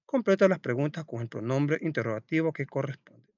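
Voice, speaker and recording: Male primary-school teacher, very lively intonation, didactic question-and-answer delivery, recorded dry and slightly dull. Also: a little high, a little fast, no room sound. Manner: didactic, asks questions and answers them himself. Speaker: teacher presenting a school textbook lesson. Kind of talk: read-aloud storytelling and step-by-step lesson narration.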